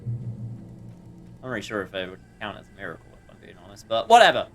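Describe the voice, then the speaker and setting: A man's voice: fairly low pitch, very animated, a little fast, faint music behind. A man giving casual, wry commentary as he livestreams a game.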